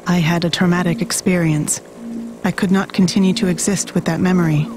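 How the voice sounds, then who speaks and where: A woman's voice: lowish voice, steady tone, a little fast, over music, natural voice. An actress voicing a solemn female android in a video game, with formal, clipped, stilted phrasing. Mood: solemn, resolute. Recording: noisy rain and vehicle ambience.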